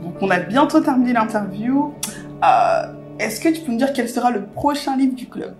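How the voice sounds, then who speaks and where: A woman's voice: on the high side, very animated, moderate pace, soft background music. A woman interviewer speaking with passion in a casual conversation, often filling with "en fait". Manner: impassioned, many "en fait" fillers.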